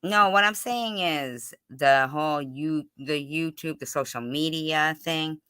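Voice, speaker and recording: A livestream host in casual interview chat, talking insistently in halting bursts and circling back to the point. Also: mid-range voice, pushed and bright, clean tone, dry recording, clean studio sound.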